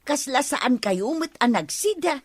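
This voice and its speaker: A woman with an Ilocano accent playing a lively, gossipy neighbor in a radio comedy drama. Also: high-pitched, a little slow, no room sound. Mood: surprised, pleased.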